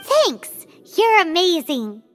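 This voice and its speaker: A woman's voice: extremely high pitch, wildly swooping pitch, takes it slowly, loud music behind the voice, heavily acted. A woman voicing a tiny, childlike fairy companion in a video game, perky, protective and emphatic. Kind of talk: video game character acting.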